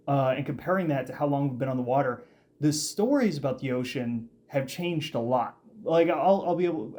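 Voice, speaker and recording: A man co-hosting a casual podcast, talking excitedly and rambling with frequent restarts and fillers. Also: medium pitch. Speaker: podcast co-host. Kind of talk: casual chat.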